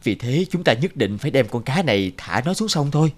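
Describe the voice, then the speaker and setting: A man's voice: mid-range voice, big pitch swings, brisk, a little mellow. A man voicing a patient, principled father in a children's cartoon, instructive and encouraging. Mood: firm.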